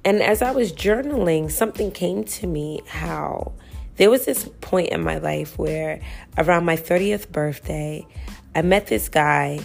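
Low, gravelly-voiced woman podcaster. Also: unhurried, faint music behind. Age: 30s. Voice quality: a little raspy. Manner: chatty and self-reflective, full of "you know" and "like". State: early-morning voice. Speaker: podcast host. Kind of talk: casual solo podcast talk.

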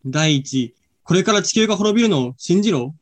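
Radio drama friend: male actor as earnest, unsure young man, fairly high register, natural amateur delivery. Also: lively intonation, moderate pace. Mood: anguished, hesitant. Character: anguished, hesitant young man, loyal friend.

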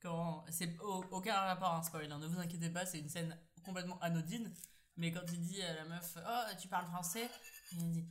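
A woman hosting a casual chat podcast, telling stories in a lively way. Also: on the low side, a little fast. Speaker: podcast host.

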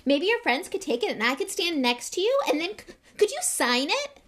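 An eager young schoolboy, acted out by a woman narrator, pitched well up high. He gushes breathlessly and excitably, a starstruck little fan from a storybook with lively swings in his voice. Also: a little fast. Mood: excited, eager.

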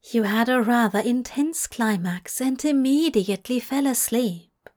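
A gentle male angel in a dramatic story, played by a woman reader. He is fond and soft in manner. There is a timid streak in him. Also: a little high, a little slow, natural voice. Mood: fond, reassuring. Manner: soft, reassuring, faltering when afraid. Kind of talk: dramatic audiobook-style fiction reading.

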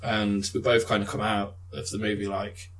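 A man's voice: on the low side, little pitch movement, normal speed, fairly bright tone, low-quality recording. Laid-back man with a cold. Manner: laid-back, chatty, laughs often, swears casually. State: blocked-up nose from a cold. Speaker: podcast co-host. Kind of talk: casual chat.

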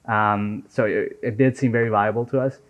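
A man in his twenties from Nepal, chatting casually as an interview guest. He talks in a relaxed, easy way, often dropping "right?" and "man" into his sentences. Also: on the low side, moderate pace. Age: 20s. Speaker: software engineer guest. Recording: dull lo-fi audio.

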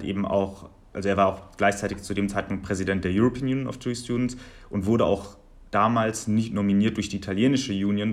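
Low-voiced young man giving interview answers. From Germany. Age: 20s. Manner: articulate, measured, long listing sentences. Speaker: guest.

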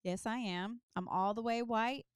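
A woman's voice: fairly high pitch, normal speed, smooth, no room sound, studio quality. A woman guest on a podcast, telling a long story in casual chat, full of ums and side stories.

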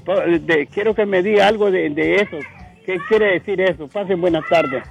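A man calling in to a radio show, talking haltingly and stumbling over his words. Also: sits high, creaky, husky, soft background music. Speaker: caller. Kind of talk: phone call to a radio show. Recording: dull, muffled phone line.

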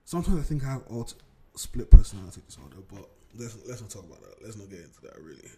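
A young man from Nigeria talks loosely into his podcast mic from a home desk, wandering off on tangents and swearing as he goes. His voice sits a little low, with a mellow, warm tone. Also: big pitch swings, quick pace, slight background noise. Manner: rambling and digressive, swears freely. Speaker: podcast host. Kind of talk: casual solo podcast chat. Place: home room at a computer desk.

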